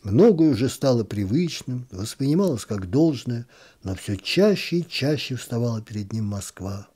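A man's voice: mid-range voice, big pitch swings, moderate pace, warm tone, slightly rough. A man narrating a literary short story as an audiobook reader.

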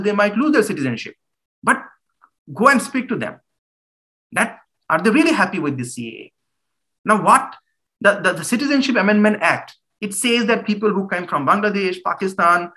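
A man's voice: high-pitched, rough recording. A man in his thirties with an Indian English accent, giving an earnest talk as a human rights lawyer and pressing the listener with pointed questions. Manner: animated hand gestures. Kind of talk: public talk. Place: small room at home, indoors.

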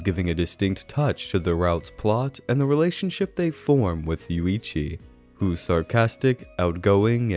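Male essay narrator, low and soft-toned, scripted and measured delivery, faint music behind. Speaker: video essay narrator and reviewer. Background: background music.

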